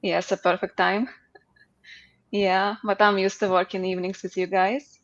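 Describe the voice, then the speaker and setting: A woman's voice: medium pitch, little pitch movement, moderate pace. Chatty woman on a dull, lo-fi line. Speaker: developer. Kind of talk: casual livestream chat. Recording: dull, slightly lo-fi sound.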